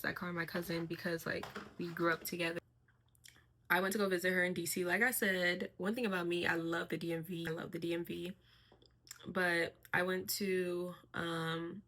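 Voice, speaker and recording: A young woman sharing her life in a casual vlog, speaking softly and reflectively with a fairly even, monotone delivery. Her voice sits a little low in pitch but has a bright, clear edge. She pauses on fillers now and then as she thinks. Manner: soft-spoken, reflective, with frequent fillers and light laughs. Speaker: vlogger.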